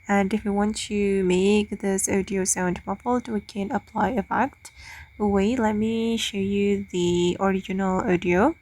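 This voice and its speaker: A woman's voice: mid-range voice, steady tone, measured pace, a little mellow. Woman hosting a step-by-step tutorial. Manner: simple step-by-step explaining. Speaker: tutorial host. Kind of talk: spoken software tutorial.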